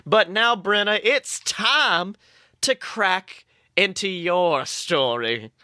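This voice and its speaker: Female co-host, bright and crisp-edged, mid pitch, chatty banter, dry studio-close sound. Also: animated, slow, dry recording. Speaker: podcast co-host. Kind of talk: casual banter.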